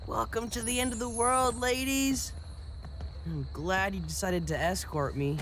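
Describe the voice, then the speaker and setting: An actor playing an awkward, tipsy, nerdy young male student with a halting, self-deprecating manner in a video game. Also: high voice, steady tone, fairly bright tone, a little hiss or hum. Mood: jokey.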